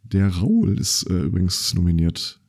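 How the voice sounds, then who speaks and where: A man's voice: deep voice, big pitch swings, measured pace, darkish tone. A man hosting a casual podcast chat, telling stories loosely with many fillers and restarts. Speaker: podcast host.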